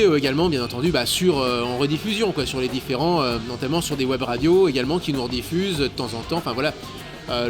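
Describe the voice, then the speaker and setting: A man in his thirties, a guest and radio show co-host, chatting casually and hesitantly in a bar, with many fillers and stammered repeats. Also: fairly high pitch. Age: 30s. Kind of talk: casual interview chat. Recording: clear music behind the voice. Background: music.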